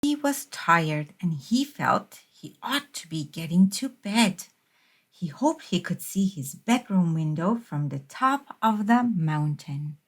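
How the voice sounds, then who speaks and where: A woman reading a children's picture book aloud as a storyteller, in a measured, expressive way. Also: lowish voice. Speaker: storybook reader.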